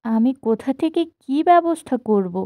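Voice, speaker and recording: A woman's voice: sits high, expressive, moderate pace, mellow. A woman playing a worried, dutiful village wife in a cartoon, plaintive and pleading. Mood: worried, exasperated. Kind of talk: cartoon voice acting.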